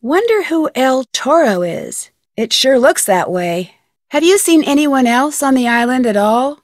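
A woman's voice: high-pitched, no room sound, studio quality. An actress playing an inquisitive, polite young woman detective in an adventure game, speaking earnestly. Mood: curious, concerned. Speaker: video game lead character. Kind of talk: scripted adventure game dialogue.

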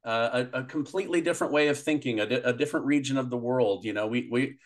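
A man's voice: medium pitch, fast talker. A man in his thirties hosting an interview over a video call, talking appreciatively with some hesitant restarts. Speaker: interview host. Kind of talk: casual interview wrap-up. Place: home office, indoors.

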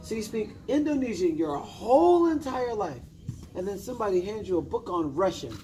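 A man's voice: high voice, sing-song, normal speed, mellow, soft background music. A man in his thirties giving informal motivational coaching to a small group, emphatic and directive, with short commands and questions. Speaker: personal development coach. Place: indoor lounge, seated among a small group. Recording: noisy room with ambient hum.

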